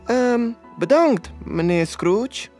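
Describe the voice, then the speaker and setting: Genteel charity lady played by a male reader: storybook, polite, halting, astonished, raised pitch. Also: lively intonation, darkish tone, faint music behind, exaggerated voice. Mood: hesitant, astonished. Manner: halting, courteous.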